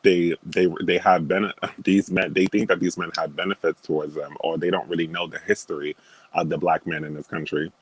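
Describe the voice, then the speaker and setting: A man's voice: low-pitched, flat delivery, normal speed, breathy edge, no room sound, low-quality recording. A man talking as a guest on a video call, stating firm opinions with many fillers and laughing at himself. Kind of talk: casual opinionated chat.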